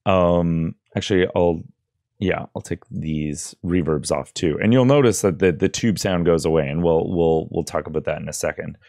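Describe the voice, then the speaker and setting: A man with a deep voice talks through an audio tutorial. He is informal and a bit hesitant, recorded close and dry at his desk. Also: normal speed, vocal fry, darkish tone, no echo. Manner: informal, hesitant, loosely explaining. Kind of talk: casual screen-recorded tutorial. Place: indoors at a computer desk. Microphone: close boom-arm microphone with headphones.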